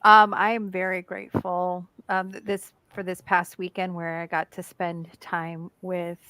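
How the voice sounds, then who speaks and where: Co-host: woman from Connecticut, bright over-enunciated speech, dry close sound. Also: fairly low pitch, little pitch movement, a little slow, clear, resonant tone, no echo, low-quality recording. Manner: over-enunciates her words. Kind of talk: casual chat.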